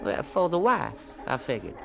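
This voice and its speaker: Pushy backwoods trader, drawl, voice swinging up and down, old-time radio play style. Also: male voice, on the high side, big pitch swings, moderate pace, creaky. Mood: defensive. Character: rough, wheedling backwoods horse-trading tracker. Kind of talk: radio drama acting.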